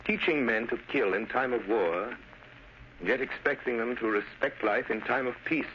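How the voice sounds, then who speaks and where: An actor playing a grave, philosophical gentleman detective in 1930s radio style, earnest, deliberate and oratorical. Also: mid-range voice, lightly acted. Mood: grave, reflective. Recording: scratchy, muffled old radio recording.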